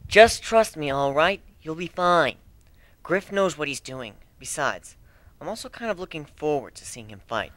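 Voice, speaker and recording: A self-assured young woman warrior, as a man reads her in a fantasy story. The pitch is raised and lively, and the delivery is breezy and reassuring. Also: moderate pace, no room sound.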